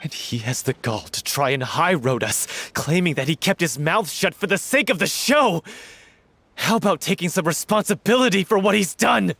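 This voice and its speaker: An actor playing a lovesick, hot-tempered male troupe actor in a video game, earnest and quick to shout. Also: fairly high pitch, lively intonation, creaky, exaggerated voice. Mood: furious.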